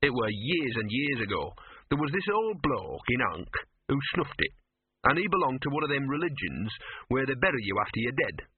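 A man's voice: medium pitch, very animated, moderate pace, slightly rough. A man voicing a cynical, streetwise talking dog in a storybook, grumbling and colloquial. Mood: matter-of-fact. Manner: grumbling, colloquial, world-weary. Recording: muffled radio-like narrow band, roomy.

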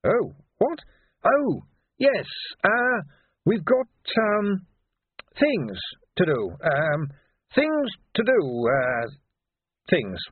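A man's voice: high-pitched, sing-song, a little fast, hardly any pauses, breathy edge, dry recording, phone-quality sound, voice pushed up, full character voice. A man voicing a fussy, pedantic monk technician who speaks precisely and is easily flustered, in an audiobook. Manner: precise, stammering, over-explaining.

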